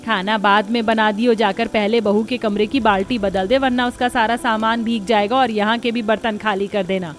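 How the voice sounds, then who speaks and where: A woman's voice: medium pitch, fairly even intonation, normal speed, hardly any pauses, faint music behind, lightly acted. A woman voicing a greedy, boastful, bossy older mother-in-law in a Hindi cartoon story, with a scolding, emphatic manner.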